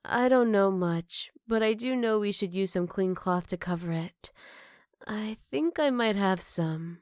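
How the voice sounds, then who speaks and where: A woman playing a gentle, caring motherly werewolf in an ASMR roleplay, soft-spoken with hesitant pauses and tender teasing. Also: mid-range voice, no echo, clean studio sound, natural voice. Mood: calm, matter-of-fact. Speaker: voice actor. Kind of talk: ASMR roleplay addressed to the listener.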